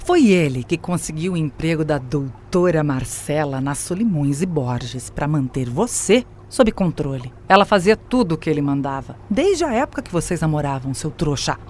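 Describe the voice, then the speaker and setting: An expressive, scheming female AI. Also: sits low, moderate pace, few pauses, a little hiss or hum. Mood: cold, commanding. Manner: taunting, theatrical, condescending. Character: scheming female artificial intelligence, smug, mocking, manipulative. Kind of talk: scripted audio drama acting.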